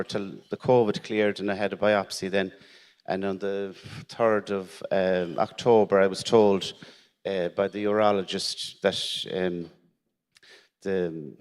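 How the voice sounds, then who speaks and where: A middle-aged Irish man, whose first language is Irish, telling a personal story at a conference in a halting way, repeating words and laughing wryly. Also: fairly low pitch. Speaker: shed member telling his story. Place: conference hall. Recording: long hall reverb.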